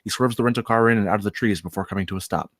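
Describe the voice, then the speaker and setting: A man's voice: fairly low pitch, rapid-fire, fairly bright tone, no room sound, clean studio sound. A man reading stage directions as narrator of a scripted comedy table read.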